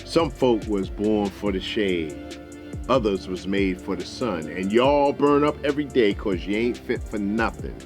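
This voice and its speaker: A proud, sneering servant man from a told story, acted out by a male narrator. He speaks in folksy, condescending phrases with a low voice. Faint music sits behind him. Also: animated, measured pace. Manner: proud, condescending Southern folk speech. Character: haughty, sneering enslaved house servant man.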